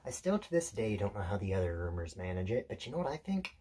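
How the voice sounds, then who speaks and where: A man acting out a theatrical monologue as an intense, unsettled loner, confiding and deliberate, pausing and correcting himself. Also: on the low side, sing-song, mellow, a little hiss or hum. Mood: confiding, edgy. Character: intense, unsettled male loner telling a rambling story.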